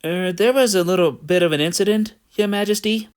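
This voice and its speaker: A woman's voice: medium pitch, a little fast, a little mellow, close to an everyday voice. A woman voicing a nervous, deferential undersea royal underling in a cartoon comic dub, hesitant. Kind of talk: animated comic dub voice acting.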